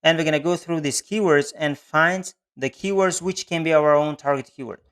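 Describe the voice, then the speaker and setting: Male tutorial presenter, fairly high register, methodical explanatory delivery for screen-share walkthroughs. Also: moderate pace, a bit bright, smooth. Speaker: online advertising tutorial presenter. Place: voice-over on a screen recording.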